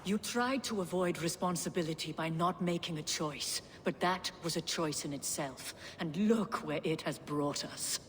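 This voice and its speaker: An actress playing a proud, disdainful Norse goddess in a video game, with a cool, deliberate, scornful delivery. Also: female voice, lowish voice, close to an everyday voice. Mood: reproachful. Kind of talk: dramatic video game acting. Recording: long reverb, faint ambient noise.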